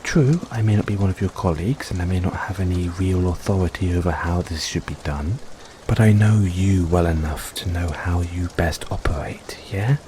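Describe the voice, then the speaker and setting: A man playing a caring, gently teasing boyfriend in a soft-spoken, playful comfort roleplay for an overworked partner. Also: sits low, normal speed, slight background noise, close to an everyday voice, low-quality recording. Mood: tender, gentle. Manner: soft-spoken, playful, coaxing, chuckles often.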